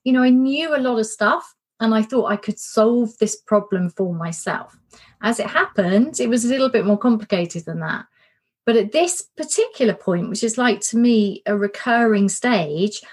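A middle-aged woman coach giving a casual spoken workshop, candid and self-reflective, her voice a little worn and hoarse. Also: on the high side. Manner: warm, candid, self-reflective, repeats words for emphasis. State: slightly losing her voice. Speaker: coach and podcast host.

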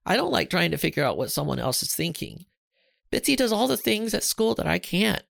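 A man's voice: mid-range voice, big pitch swings, normal speed, gravelly, no echo, studio quality, pitched above the performer's own voice. A man voicing a shy, anxious young schoolboy who talks in a fretful, pleading way.

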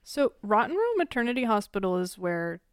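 A woman's voice: medium pitch, sing-song, brisk, no echo, clean studio sound. Playful, chatty woman podcast co-host. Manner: playful and chatty, leads the case narration. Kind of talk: casual true-crime chat.

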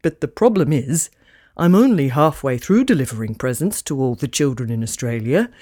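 A woman's voice: low-pitched, mellow, dry recording, clean studio sound, pitched below the performer's own voice. A woman voicing a kindly, fretful old male Santa in a children's storybook with fussy, old-fashioned phrasing.

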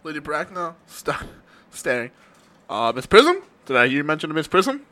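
Haughty older noblewoman acted by a man, commanding and disapproving, raised pitch, forceful bright edge. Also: very animated, moderate pace. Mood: imperious, demanding. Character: imperious, haughty older aristocratic lady.

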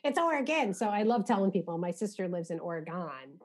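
American woman podcast interviewer, slightly low voice, chatty questions, quick little reactions. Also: big pitch swings. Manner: informal, reacts with short interjections. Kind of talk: casual conversational interview.

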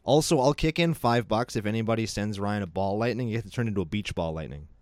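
A man's voice: on the low side, fast talker, no echo. A man co-hosting a casual podcast, joking and teasing in a dry way. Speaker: podcast co-host. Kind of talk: casual chat.

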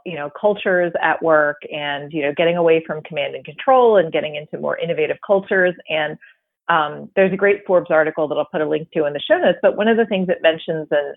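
A woman co-hosting a business podcast, talking in a relaxed, conversational way. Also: lowish voice, no echo. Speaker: podcast co-host. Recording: dry, phone-like narrow sound.

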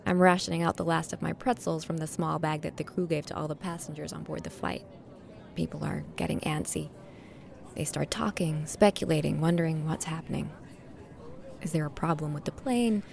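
Sardonic, gravel-edged woman gambler. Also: low-pitched, fairly quick, darkish tone. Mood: wry, confiding. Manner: wry, candid, conversational, sweary. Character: sardonic, streetwise woman gambler telling her own story. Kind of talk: first-person audio drama narration and dialogue.

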